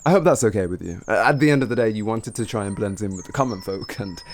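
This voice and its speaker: Man playing a cocky, low-voiced bandit rogue. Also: fast talker, background noise, natural voice. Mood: smug, teasing. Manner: teasing, gloating, chuckling, improvised hesitations. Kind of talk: improvised fantasy roleplay audio addressed to the listener.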